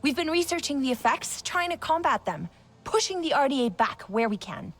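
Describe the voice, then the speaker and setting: A committed resistance scientist in a game cutscene. Her voice is high and very lively in pitch, chatty and eager. Light music is heard behind her. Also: moderate pace. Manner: chatty, expressive. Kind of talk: naturalistic video game acting.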